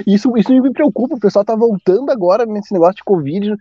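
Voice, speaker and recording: A man from Brazil, a teacher hosting a podcast in casual chat, talkative and quick to laugh. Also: high-pitched, mellow, breathy edge, no echo, lo-fi sound. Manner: chatty, laughing often, drawn-out asides.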